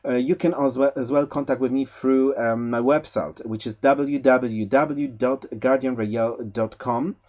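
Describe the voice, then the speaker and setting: A man's voice: medium pitch, steady tone, a little slow, hardly any pauses. Hesitant man with a Polish accent. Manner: hesitant, many fillers and restarts; gentle, spiritual advice. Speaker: tarot reader. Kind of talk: monthly tarot forecast. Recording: slightly dull lo-fi sound.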